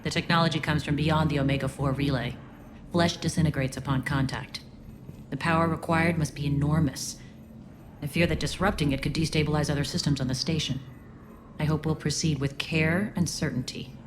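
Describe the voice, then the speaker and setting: A woman playing a guarded, sincere alien resistance leader in a video game, speaking in a measured, reflective way. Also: low-pitched, steady tone, background noise, lightly acted. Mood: grave concern. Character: earnest, guarded female alien resistance leader, haunted veteran.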